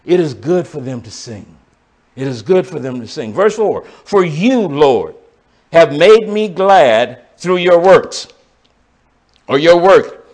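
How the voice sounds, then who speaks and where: High-voiced man teaching scripture. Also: big pitch swings, takes it slowly, a little mellow. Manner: explanatory, reads and comments on scripture verse by verse; addresses the congregation directly. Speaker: pastor teaching a Bible study. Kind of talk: sermon-style Bible teaching.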